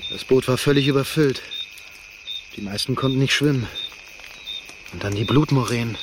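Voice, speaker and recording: An actor playing a desperate, hungry shipwreck survivor in a radio play, calling out urgently to strangers. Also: male voice, mid-range voice, moderate pace, background noise, natural voice. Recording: studio radio play with music.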